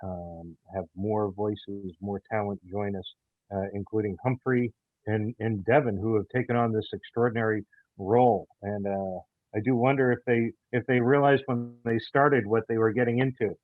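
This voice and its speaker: A man talking in an interview as the creator of an audio theater show, in a halting way that often repeats words and phrases. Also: fairly low pitch, fairly even intonation, no room sound. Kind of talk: conversational interview talk. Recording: thin phone-like sound.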